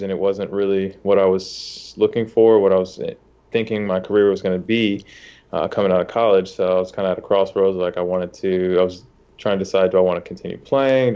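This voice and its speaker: Relaxed low-voiced young man, flat delivery. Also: brisk, clear, resonant tone, highs cut off. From United States. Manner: easygoing, many fillers and restarts. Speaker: interview guest, soccer goalkeeper. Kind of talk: casual interview talk.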